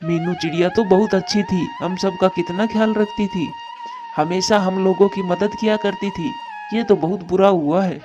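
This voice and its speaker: A man's voice: high-pitched, fairly even intonation, normal speed, lo-fi sound, over music, natural voice. A man voicing a caring, troubled adult male bird in a cartoon moral story, speaking earnestly and with plain drama. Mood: distressed.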